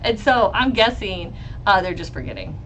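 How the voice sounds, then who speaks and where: A woman lawyer with a loud voice, hosting a live stream about a trial and reading chat questions. She talks bluntly, swears freely and laughs often. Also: mid-range voice, very animated, moderate pace, slight background noise, rough recording. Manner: blunt and profane, with frequent laughs. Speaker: lawyer and legal commentator.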